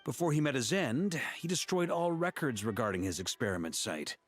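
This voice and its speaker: An actor playing a dutiful knight in a video game, formal, composed and grave in manner. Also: male voice, medium pitch, expressive, lightly acted. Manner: formal, measured.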